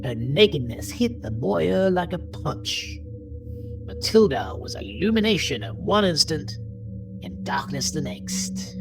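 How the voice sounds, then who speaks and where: A woman narrating a scripted horror audio drama in a theatrical manner, sometimes dropping into hushed tones. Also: deep voice, big pitch swings, measured pace, rough recording. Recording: music bed under the narration.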